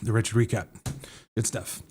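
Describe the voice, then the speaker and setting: A man's voice: fairly low pitch, fairly even intonation, moderate pace, darkish tone, a little hiss or hum. A man with an American accent hosting a podcast, sounding a little nasal from drainage, talking casually with lots of fillers and self-corrections. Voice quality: slightly nasal. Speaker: podcast host. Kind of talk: casual livestream talk.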